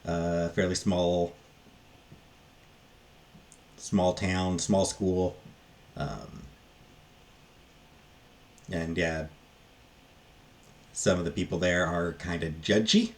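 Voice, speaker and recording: A man with a very low-pitched voice talks through a book review off the cuff. His delivery is casual and rambling, with halting phrases that tend to trail off. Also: fairly even intonation.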